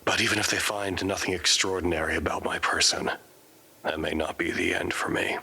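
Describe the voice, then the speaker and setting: A man playing a weary, formal quarantined character, narrating his confinement in measured, articulate audio drama monologue. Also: deep voice, steady tone, gravelly, sharp tone, slightly rough, full character voice. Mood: resigned, measured.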